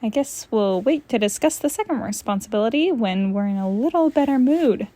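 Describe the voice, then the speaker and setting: A woman's voice: a little high, expressive, brisk, mellow, natural voice. A woman voicing a dull, earnest, nerdy man who owns a cat, in a comic storybook read-aloud. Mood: explaining.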